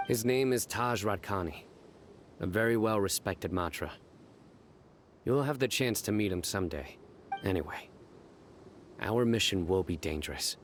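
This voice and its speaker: An actor playing a grim, stoic young male investigator who speaks in terse, deadpan, measured sentences. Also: sits low, a little hiss or hum, close to an everyday voice. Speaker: stern law enforcer.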